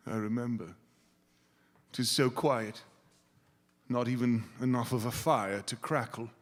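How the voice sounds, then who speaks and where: A man's voice: mid-range voice, fairly even intonation, measured pace, close to an everyday voice. A man playing a miserly, nervy old man in live radio theater, theatrical and hesitant, given to muttering. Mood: wistful. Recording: reverberant live theater hall.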